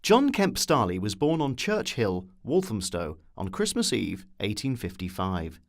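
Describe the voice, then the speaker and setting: A man's voice: on the low side, very animated, unhurried, a little hiss or hum. A man delivering scripted documentary narration as a storyteller with wry asides.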